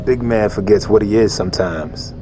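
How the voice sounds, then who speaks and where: Actor as a low, blunt squad soldier. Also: male voice, moderate pace, music in the background, natural voice. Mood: dry, cutting. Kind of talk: video game cinematic acting.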